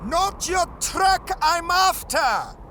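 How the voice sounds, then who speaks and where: A bold, shrewd rival hunter in a pulp sci-fi audio drama. His voice is very high for a man and forceful, challenging whoever he faces, with faint ambience behind. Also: sing-song, very slow, slight background noise, heavily acted. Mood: challenging, commanding. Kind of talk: pulp science fiction audio drama acting.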